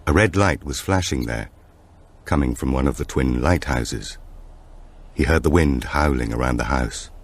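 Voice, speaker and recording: A man reading a thriller audiobook in a measured, dramatic storytelling manner. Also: a bass voice, breathy edge. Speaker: audiobook narrator. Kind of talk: scripted thriller narration.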